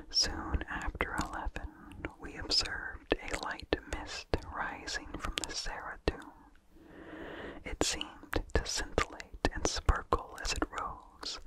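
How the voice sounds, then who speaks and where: Slow, fully whispered ASMR story reader. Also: rough recording. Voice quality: unvoiced, breathy whisper with soft mouth sounds. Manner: slow, deliberate phrasing with pauses. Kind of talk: whispered ASMR reading of a vintage horror story.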